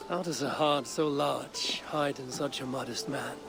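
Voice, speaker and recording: A stoic Viking warrior from a historical video game, with a gravelly mid-range voice. The delivery is measured, solemn and plain-spoken, over music. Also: male voice, mid-range voice, fairly even intonation. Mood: respectful. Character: stoic, warm-hearted Viking warrior leader of a clan.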